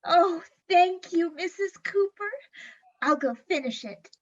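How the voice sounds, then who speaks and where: A woman's voice: extremely high pitch, expressive, slow, exaggerated voice. An actress playing a bashful, naive, lovesick young woman in an old-time radio comedy, timid and plaintive, on slightly dull audio. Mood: grateful.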